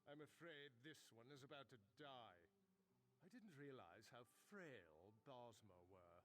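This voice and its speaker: A man's voice: medium pitch, a little slow, background noise, natural voice. Guy as a mean cold elf henchman in a game, mid voice, scornful. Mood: cold, suspicious. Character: cold, callous male elven henchman.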